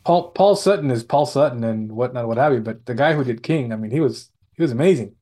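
A man's voice: mid-range voice, animated, fast, dark tone. A man chatting casually in a rambling way, often repeating his phrases as he goes. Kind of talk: casual chat.

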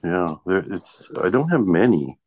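A man with an extremely deep voice chats casually. The sound is dry, without room echo. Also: big pitch swings, measured pace, dark tone. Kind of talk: casual chat.

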